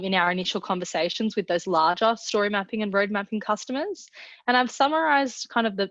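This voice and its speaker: A woman's voice: medium pitch, a bit bright, no echo. An Australian woman presents on a webinar in a mid-range voice, upbeat and conversational with small restarts. The sound is dull and dry, like a web-call line. She speaks as a product manager guest.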